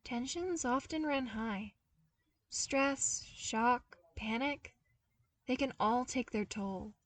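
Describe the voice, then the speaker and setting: Girl doing a young guy smuggler in a story, chatty n teasing, higher voice, bit distant. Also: slow, dry recording. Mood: sympathetic, earnest. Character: playful, earnest young man, a seasoned smuggling runner.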